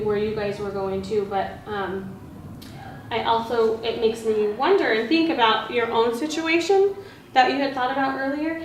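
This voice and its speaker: Higher-voiced woman teacher, distant and roomy. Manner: encouraging, question-led. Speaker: teacher in training. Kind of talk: leading an interactive read-aloud discussion. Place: indoor room with background noise. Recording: roomy, distant mic, noisy classroom.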